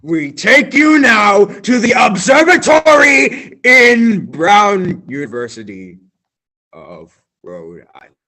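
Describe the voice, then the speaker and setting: A man's voice: high voice, very animated, bright, forceful tone, heavily acted. A young man playing a flustered, pompous radio announcer. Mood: announcing. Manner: dramatic pauses, theatrical announcing. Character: flustered male radio announcer, grandly solemn. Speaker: student actor. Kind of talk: stage radio-play acting.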